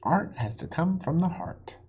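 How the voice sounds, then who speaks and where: Kindly, thoughtful male silverback for a picture book, done by a reader: breathy, slow, mellow. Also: mid-range voice, animated, lo-fi sound, pitched above the performer's own voice. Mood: patient. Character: Ivan, gentle, thoughtful male silverback gorilla. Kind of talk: picture book character read aloud.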